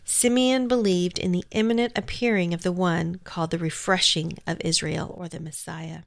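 A woman's voice: fairly low pitch, fairly even intonation, moderate pace, gravelly, no echo. A woman co-hosting a faith program, talking and reading in a devotional style. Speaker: program co-host. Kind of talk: devotional talk and scripture reading.

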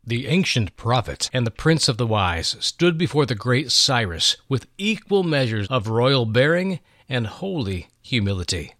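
A man's voice: medium pitch, big pitch swings, measured pace, hardly any pauses, a bit bright, dry recording, clean studio sound. A man co-hosting and narrating Bible stories in an emphatic, solemn way. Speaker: co-host and dramatic narrator. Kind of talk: Bible discussion and dramatic scripted narration.

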